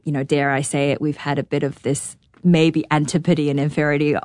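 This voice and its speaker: A woman with a New Zealand accent speaks in a slightly low voice, earnest and searching as she thinks aloud in questions. The recording is dry and close. She sounds like a guest in a relaxed interview. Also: brisk, no room sound. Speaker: guest, orchestra conductor. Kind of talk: reflective interview conversation.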